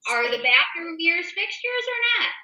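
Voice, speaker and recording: A woman's voice: very high voice, big pitch swings, normal speed, pushed and bright. A woman co-hosting a casual talk show, reading items aloud and chatting emphatically straight to her listeners. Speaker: show host. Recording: roomy, echoey indoor sound.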